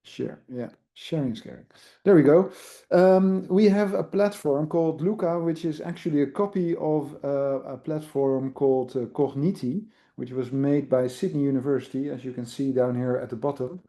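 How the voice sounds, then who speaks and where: A man's voice: fairly high pitch, moderate pace, warm tone. A man with a Dutch accent giving a casual live online demo, easygoing and full of fillers. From Netherlands. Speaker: presenter, university IT product owner.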